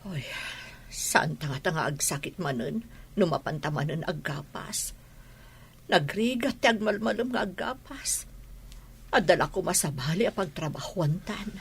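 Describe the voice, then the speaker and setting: A woman's voice: fairly low pitch, expressive, moderate pace, husky, exaggerated voice. A woman with an Ilocano Filipino accent playing a worried, regretful mother in a radio drama, weary and plaintive. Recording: background noise behind the voice.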